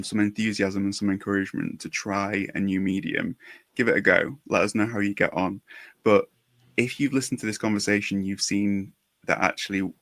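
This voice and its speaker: Encouraging male podcast host, slightly gravelly. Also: on the low side, a bit bright, no room sound. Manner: encouraging, enthusiastic. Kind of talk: casual podcast chat.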